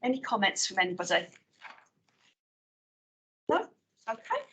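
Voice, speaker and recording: A woman with a West Midlands English accent chairing a formal committee meeting, working through the agenda in a measured, procedural way with frequent fillers. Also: mid-range voice, huge pitch swings, a bit bright.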